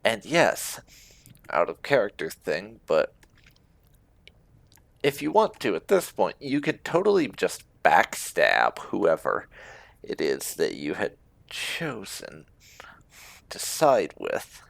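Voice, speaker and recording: Male gaming host, casual roleplay commentary, unhurried and musing, very wide swings in intonation. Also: low-pitched, wildly swooping pitch, vocal fry, a bit bright, no echo.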